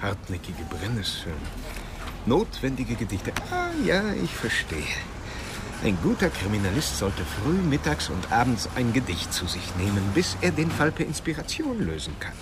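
A man's voice: medium pitch, big pitch swings, moderate pace, background noise, natural voice. A man playing a practical, teasing police colleague who talks in a wry, matter-of-fact way in a radio crime drama.

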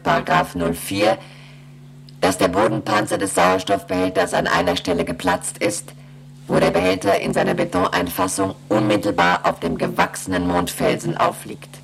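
A woman playing an emotionless moon station computer in a radio play, reciting in a formal monotone. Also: rumbling low, gravelly, low-quality recording. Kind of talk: German radio play acting.

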